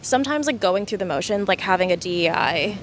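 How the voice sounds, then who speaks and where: A woman's voice: medium pitch, lively intonation, fast, a little hiss or hum, poor audio. Thoughtful woman, conversational. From United States. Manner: thoughtful, many "like" and "um" fillers. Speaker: interview guest. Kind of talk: casual reflective conversation.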